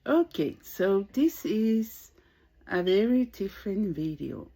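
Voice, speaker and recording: Slow-spoken Samoan woman in her sixties. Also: mid-range voice, big pitch swings, creaky, warm tone. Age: 60s. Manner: hesitant, searching for English words. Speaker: vlogger. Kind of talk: casual talk to camera.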